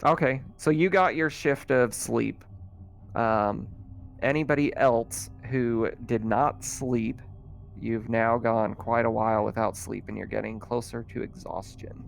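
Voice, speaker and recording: A man's voice: mid-range voice, fairly even intonation, measured pace, creaky, a little hiss or hum. A man running an online tabletop roleplaying game as game master, talking casually and a little hesitantly as he checks rules.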